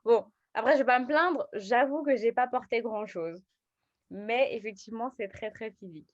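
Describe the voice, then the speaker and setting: Higher-voiced woman, enthusiastic interviewer. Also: moderate pace, dull audio. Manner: enthusiastic, encouraging. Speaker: interviewer, show host. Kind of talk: casual live interview chat.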